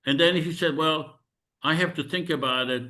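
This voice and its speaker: A man with a somewhat high, bright, forceful voice talks over a video call. He tells his story earnestly and lands his points firmly. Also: normal speed. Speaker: meeting organizer. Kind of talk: recounting events in an online meeting.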